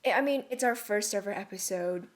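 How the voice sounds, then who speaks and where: A young woman co-hosting a casual podcast chat, talking calmly and laughing often between her phrases. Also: mid-range voice, brisk. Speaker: podcast co-host.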